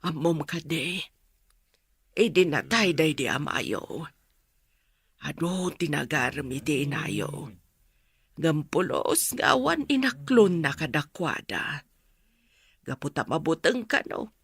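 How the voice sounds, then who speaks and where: A woman playing a sober, middle-aged aunt in a radio drama, speaking earnestly with a northern Philippine accent in a measured, consoling manner. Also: deep voice, lively intonation, husky, full character voice. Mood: sober, explaining. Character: sober, consoling older aunt, gently explaining.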